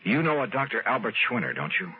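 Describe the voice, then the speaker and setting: A man's voice: mid-range voice, expressive, fast, slight background noise, natural voice. An actor playing a direct, persistent insurance investigator who also narrates his case in a 1950s radio drama. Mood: gentle, probing. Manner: direct, insistent, conversational. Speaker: detective protagonist and narrator. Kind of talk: 1950s radio detective drama acting, first-person narration. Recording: tinny 1950s radio recording.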